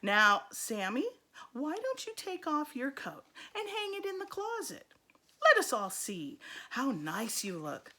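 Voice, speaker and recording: A woman voicing a kindly, prim female schoolteacher in a storybook, gently correcting in a measured way. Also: high voice, huge pitch swings, full character voice. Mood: patient, coaxing.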